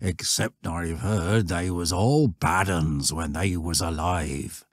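A man's voice: low-pitched, slow pace, no room sound, clean studio sound. A man voicing a grave old country shepherd in a story, with a rural English accent and emphatic, folksy speech. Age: older. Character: old male country shepherd, grave, cautionary, plain-spoken.

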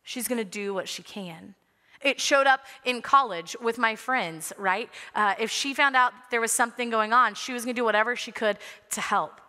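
A woman's voice: on the high side, normal speed, sharp tone. A woman, preaching a sermon from a church stage in an earnest manner with light humor. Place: large church sanctuary stage. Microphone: headset mic. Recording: long reverb of a large sanctuary.

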